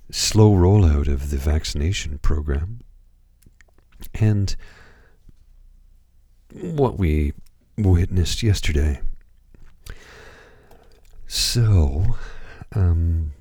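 A hoarse man hosting a radio music show, talking calmly with many pauses and hesitations. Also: very deep voice, dry recording. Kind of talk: slow, calm radio presentation.

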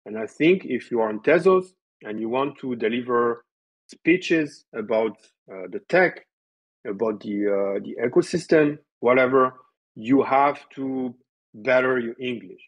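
Husky French man talking shop. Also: medium pitch, muffled recording. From southern France. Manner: lively, stresses words up and down, many fillers like "you know". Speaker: guest, developer relations. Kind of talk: casual interview talk.

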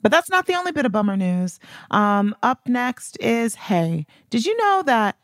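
A woman's voice: a little high, sing-song, normal speed, dry recording, clean studio sound. A woman co-hosting a podcast, chatting informally about news with wry, sarcastic asides. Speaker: podcast co-host. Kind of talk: casual chat about news.

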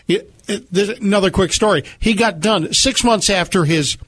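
A man with a slightly high voice works as a radio co-host. He talks conversationally and tells stories with a dry, teasing sense of humor. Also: moderate pace, fairly bright tone, a little hiss or hum. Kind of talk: conversational radio talk with storytelling. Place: radio studio.

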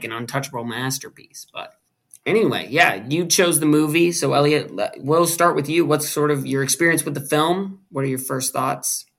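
A man's voice: medium pitch, fairly bright tone. A man in his twenties hosting a podcast, chatting and teasing his co-host about a film. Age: 20s. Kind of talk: casual movie review chat.

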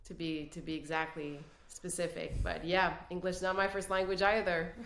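A woman's voice: on the low side, flat delivery, normal speed, a bit bright. Woman in an echoey room. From Quebec City, Canada. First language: French. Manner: earnest, many restarts and fillers. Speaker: guest executive. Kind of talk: podcast interview. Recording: roomy echo.

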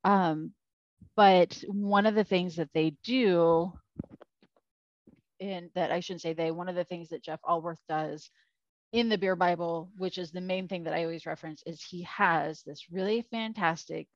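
A woman's voice: lowish voice, fairly even intonation, measured pace, no echo, highs cut off. A woman co-hosting a podcast in casual chat, rambling with lots of fillers. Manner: rambling, filler-heavy, self-deprecating. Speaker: podcast co-host.

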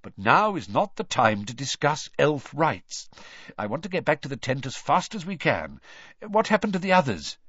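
A man's voice: a little high, sing-song, moderate pace, slightly rough, no echo, recorded from a distance, dull audio, voice pushed up, full character voice. A man voicing a kindly but harried middle-aged father in an audiobook, firm and urgent in manner. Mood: tense urgency. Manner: quiet, urgent, impatient.

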